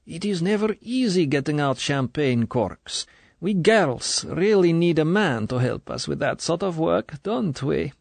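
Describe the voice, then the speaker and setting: A man's voice: fairly high pitch, expressive, a little slow, dry recording, pitched above the performer's own voice, heavily acted. A man voicing a sugary, menacing middle-aged female Soviet colonel, in an audiobook. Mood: cloying. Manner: falsely sweet, smooth, then cold and commanding.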